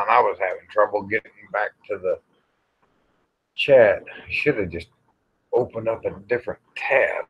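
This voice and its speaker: A man rambles casually to livestream viewers in a folksy, grumbling way, pausing often. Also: on the low side, husky. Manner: folksy, grumbling, drawn-out with pauses. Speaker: livestream host. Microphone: small microphone near the face. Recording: dull, muffled livestream audio.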